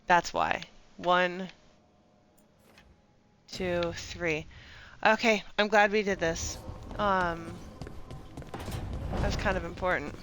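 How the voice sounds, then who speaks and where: A woman's voice: on the low side, steady tone, slow pace, long pauses, fairly bright tone, a little hiss or hum. A woman doing casual live game commentary, chattily thinking aloud and reacting as she plays. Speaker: video game streamer.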